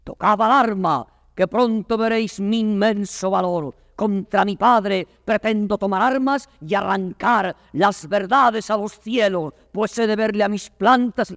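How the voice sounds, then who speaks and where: Defiant captive prince, philosophical and proud, slow weighty verse delivery, dry slightly high voice. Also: male voice, fairly bright tone, dry recording. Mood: resolute musing. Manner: declamatory, weighty, emphatic. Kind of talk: classical Spanish verse drama, declaimed.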